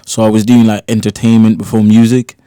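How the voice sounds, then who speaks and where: A man's voice: fairly low pitch, little pitch movement, fairly quick, dark tone, smooth, dry recording. A young man from Cork, a guest musician chatting casually in a small radio studio on a desk mic, laid-back and full of slang, often asking "do you get what I mean". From Cork, Ireland. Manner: laid-back, loose slang, keeps checking in with the listener. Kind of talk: casual interview chat. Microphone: studio microphone on a desk stand.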